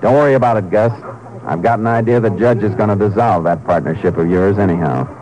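An actor playing a steady male Texas Ranger lawman in a 1950s radio drama, calm and firm with a dry manner. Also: on the low side, fast, lightly acted, rough recording. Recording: 1950s radio transcription with score. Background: music.

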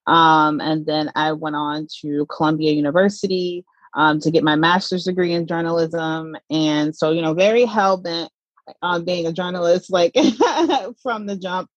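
A woman's voice: fairly low pitch, a little slow, no echo, highs cut off. A woman in her thirties from the Southern United States, guest on a podcast, answering in a casual, chatty way with many fillers and easy laughs. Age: 30s. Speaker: podcast guest, platform creator.

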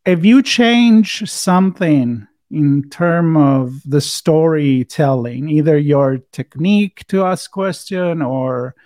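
A man's voice: fairly high pitch, slow, hardly any pauses, darkish tone, no room sound, clean studio sound. A man with an Italian accent, a native Italian speaker, hosting a casual podcast interview and often rephrasing as he goes. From Italy. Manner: searching phrasing, self-correcting. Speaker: podcast host.

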